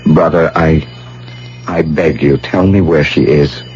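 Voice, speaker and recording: A man's voice: extremely low pitch, steady tone, measured pace, a little mellow, soft background music. An actor playing an earnest, hot-tempered young man, insistent and pleading as he searches, in an old-time radio drama. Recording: muffled, noisy vintage radio broadcast.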